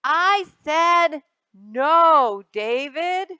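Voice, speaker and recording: A woman voicing an exasperated mother of a naughty little boy in a picture book, with emphatic, drawn-out words. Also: sits high, lively intonation, pushed and bright, no room sound, pitched above the performer's own voice, full character voice. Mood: scolding.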